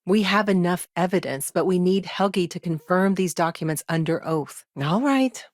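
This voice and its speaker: A woman playing a pragmatic, guarded lawyer in a video game, speaking in a measured, matter-of-fact way with dry wryness. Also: lowish voice, dry recording, lightly acted. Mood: businesslike. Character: businesslike, wry female lawyer, pragmatic and guarded. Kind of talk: scripted game dialogue, conversational.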